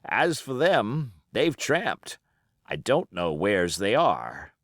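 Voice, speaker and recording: A sly old seafaring pirate played by a male reader, all cajoling sailor talk with menace under the geniality. The pitch swoops about freely and the pace is unhurried, as in a storybook told aloud. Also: sing-song, a bit bright, dry recording, clean studio sound, heavily acted. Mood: boastful, wry. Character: wily old male sea-cook pirate captain, genial yet menacing. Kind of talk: audiobook character acting.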